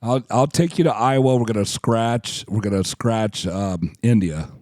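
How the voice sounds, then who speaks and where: A man's voice: fairly low pitch, unhurried, a little mellow, dry recording, studio quality. A man co-hosting a travel podcast in casual chat, fighting a cold and losing his voice. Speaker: podcast co-host.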